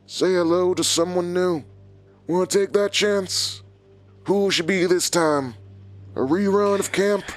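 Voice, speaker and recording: A man's voice: high-pitched, a little slow, soft background music. A man playing a cold, taunting villain in an audio drama, an exhausted old enemy who keeps tight control. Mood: threatening, taunting.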